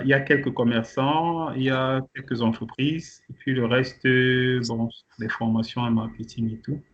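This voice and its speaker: A man leading an online training kickoff meeting, presenting to participants with many hesitations and restarts. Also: medium pitch. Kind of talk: presenting to participants on a video call. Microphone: video call. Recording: muffled call audio with faint noise.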